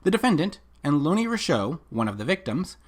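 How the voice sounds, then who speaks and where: A commentator delivering scripted video commentary and reading from documents, with rhetorical, emphatic and deliberate phrasing. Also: mid-range voice, sing-song. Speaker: commentator and narrator.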